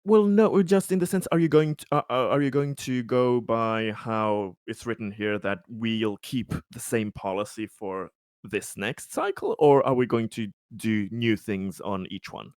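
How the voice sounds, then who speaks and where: A man playing in a tabletop role-playing game, speaking in a halting, deliberate way as he searches for words. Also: mid-range voice, darkish tone, no echo, clean studio sound. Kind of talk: role-play and game discussion.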